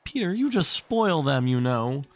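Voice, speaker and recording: A man's voice: on the high side, big pitch swings, a little slow, dry recording, pitched above the performer's own voice. A man voicing a prim, fussy young motherly girl in a storybook, speaking in a tart, simpering way.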